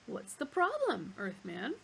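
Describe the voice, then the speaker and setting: A woman's voice: medium pitch, wildly swooping pitch, measured pace, creaky, a little hiss or hum, full character voice. A woman voicing a brash, impatient, greedy male adventurer.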